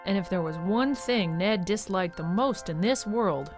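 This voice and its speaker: A woman's voice: medium pitch, animated, a little slow. Woman narrating a story over music. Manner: conversational, addressing the listener. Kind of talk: scripted storybook narration for audio drama.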